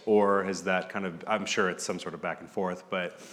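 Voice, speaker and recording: A man's voice: sits low, steady tone, normal speed, a bit bright, in a large hall. A man moderating an interview, asking long, hesitant questions full of "kind of" and "like.". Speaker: moderator, magazine editor.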